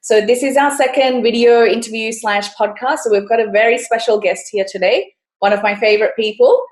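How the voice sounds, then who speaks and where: A woman's voice: on the high side, fairly quick. Friendly woman host in echoey room. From Australia. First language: Sinhalese. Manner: friendly, laughs easily, playful teasing. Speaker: podcast host. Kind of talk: casual interview.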